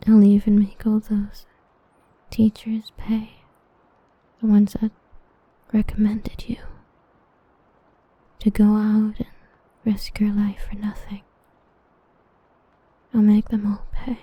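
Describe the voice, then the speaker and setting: A woman playing an obsessive, possessive female villain in an ASMR roleplay, breathy and intimate with menacing devotion. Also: mid-range voice, no room sound, highs cut off. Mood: cold menace. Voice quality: breathy, whispery. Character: obsessive yandere female villain, tenderly possessive, murderously protective.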